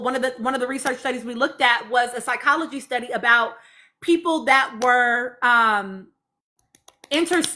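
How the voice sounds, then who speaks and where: A woman's voice: sits high, sharp tone. A woman hosting a live stream, giving impassioned, informal commentary to her audience and repeating phrases for emphasis. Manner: emphatic, repeats phrases for stress, urging listeners.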